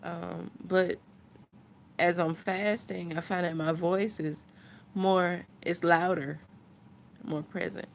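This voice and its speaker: Slow-talking woman vlogger. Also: lowish voice, fairly even intonation, gravelly, a little hiss or hum. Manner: unhurried, rambling, thinking aloud. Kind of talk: casual chat to viewers.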